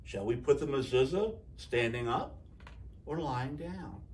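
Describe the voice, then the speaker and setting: A man's voice: mid-range voice, animated, a little slow, darkish tone, recorded from a distance, slight background noise, lightly acted. A man voicing puzzled townspeople in a storybook, pleading and questioning in bewilderment.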